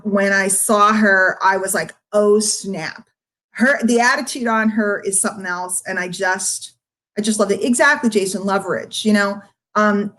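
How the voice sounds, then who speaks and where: A middle-aged woman speaking casually to her online followers as a marketing coach, candid and rambling, with emphatic points made straight to the listener. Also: mid-range voice. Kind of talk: casual live-stream chat to followers.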